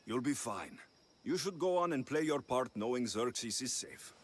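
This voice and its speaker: A heroic mercenary in a game cutscene, with a mid-pitched, expressive voice. He is direct and sure of himself, with a dry, teasing edge. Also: moderate pace, slightly rough. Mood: resolute. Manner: direct, assured, dryly teasing. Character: young heroic Greek mercenary, confident, wry and helpful. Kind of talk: video game cutscene acting.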